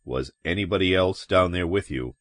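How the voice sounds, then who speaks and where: A man's voice: low-pitched, moderate pace, fairly bright tone, no echo, pitched below the performer's own voice. A male narrator playing a terse, official heavy-set policeman in a story reading. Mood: curt, demanding. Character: heavy-set male cop, curt, impassive questioner.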